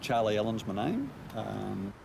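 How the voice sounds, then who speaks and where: A middle-aged Australian man with a lowish voice talks steadily, pausing often on "uh" as he explains his police work. He is recorded outdoors beside a road, and clear traffic and street noise sits behind him. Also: sing-song. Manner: measured, frequent "uh" pauses. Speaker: police area commander. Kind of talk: documentary interview.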